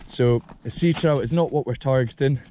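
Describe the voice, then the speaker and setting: A man with a Scottish accent, chatting excitedly and swearing while fishing with a friend on a rocky sea shore, recorded on a camera mic. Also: mid-range voice, normal speed, a little hiss or hum. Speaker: fishing vlogger. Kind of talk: excited casual chat outdoors.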